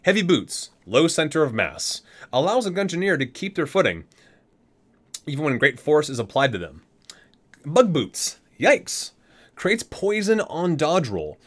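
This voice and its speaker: A man's voice: fairly high pitch, sing-song, a little slow. A man hosting an ASMR video, reading guidebook entries aloud and sometimes stumbling and correcting himself.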